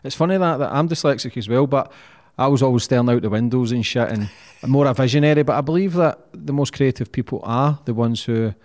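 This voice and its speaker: A man's voice: mid-range voice, fairly quick, hardly any pauses, darkish tone. A man hosting a podcast, chatting casually with a blunt, encouraging manner and free swearing. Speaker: podcast host. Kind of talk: casual interview chat.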